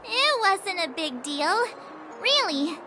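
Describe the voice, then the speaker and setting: Bright young game character, super high swoopy voice, earnest, drawn out. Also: big pitch swings, takes it slowly, heavily acted. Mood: modest. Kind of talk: video game story dialogue. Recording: music behind the voice.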